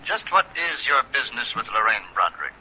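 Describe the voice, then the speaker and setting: A man's voice: mid-range voice, very animated, fairly quick, rough recording. An actor playing a courteous, guarded businessman in a 1950s radio drama, formal and apologetic over the telephone. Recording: sharp vintage radio telephone sound, rain noise. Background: rain sounds.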